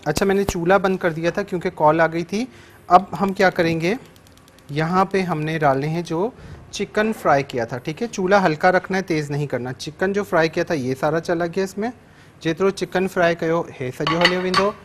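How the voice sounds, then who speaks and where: A man's voice: sits high, normal speed, slight background noise. A man in his thirties with a Sindhi accent, hosting a TV cooking show from a studio kitchen and explaining each step as he cooks. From Sindh, Pakistan. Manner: instructive, repeats steps in two languages. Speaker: TV cooking show chef and host. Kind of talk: cooking demonstration, taking phone calls.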